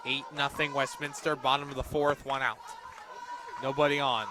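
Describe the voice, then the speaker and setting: A young man doing live radio play-by-play of a softball game as the announcer. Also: mid-range voice, level tone, moderate pace. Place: outdoors at a softball field. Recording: outdoor ballpark noise, crowd behind. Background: crowd and outdoor ambient noise.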